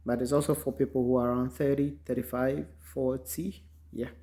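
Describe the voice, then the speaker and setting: A man from Ghana with a Ghanaian accent, giving an unhurried, reflective motivational talk full of ums, recorded in a car. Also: medium pitch, little pitch movement, warm tone. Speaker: vlogger. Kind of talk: casual motivational monologue.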